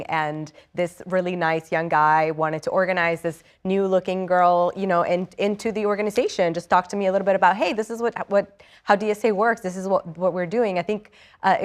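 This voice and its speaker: A young woman from Ecuador, a newly elected assemblymember, answering questions in an earnest, eager way with many fillers and restarts. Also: fairly low pitch. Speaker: state assemblymember, interview guest. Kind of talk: live TV news interview.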